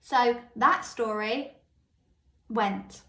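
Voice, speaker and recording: A woman with a high, light voice hosting a solo self-help podcast. She tells personal stories and keeps checking in with the listener. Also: drawn-out words, a bit bright. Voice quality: high, light voice that others called squeaky. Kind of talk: solo motivational podcast monologue. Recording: echoey room.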